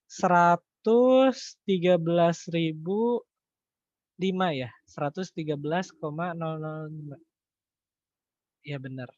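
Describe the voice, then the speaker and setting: A man with a slightly high voice tutors informally, working through calculations out loud. His delivery is halting, with figures mumbled as he checks with his listeners. The sound is roomy and a bit dull. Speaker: accounting tutor. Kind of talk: informal online lesson, thinking aloud through calculations. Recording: echoey room, dull lo-fi online-call audio.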